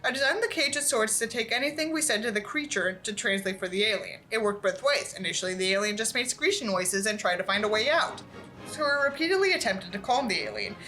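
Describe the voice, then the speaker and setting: A woman with a bright, forceful and fairly high voice reads a story aloud. Her delivery is expressive and sarcastic, with an exasperated edge, and music plays underneath. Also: poor audio. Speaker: book reviewer reading aloud with commentary. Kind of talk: audiobook-like reading with sarcastic asides.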